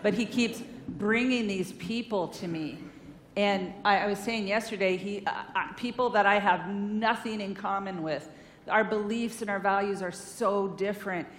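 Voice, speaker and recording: A woman giving an informal, earnest sermon-style talk at a church, chatty and full of fillers, on a stage microphone. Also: normal speed. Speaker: guest speaker at a church, counselor. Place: large hall in a church. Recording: echoey hall, faint rumble.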